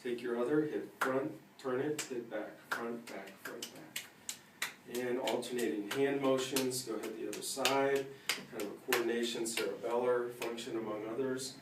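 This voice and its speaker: Male clinician, middle pitch, matter-of-fact exam instruction, recorded in a roomy space with the mic set back. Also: fairly even intonation, normal speed. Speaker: clinician demonstrating a neurological exam. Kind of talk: instructing a patient while explaining to viewers. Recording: roomy, distant mic with faint noise.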